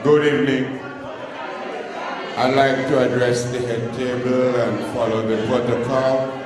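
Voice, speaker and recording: A man's voice: mid-range voice, little pitch movement, unhurried, crowd noise. A man, jovial and chuckling as he puts questions to the head table at a public meeting. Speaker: audience member asking questions. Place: large public meeting hall. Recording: echoey hall with long reverb.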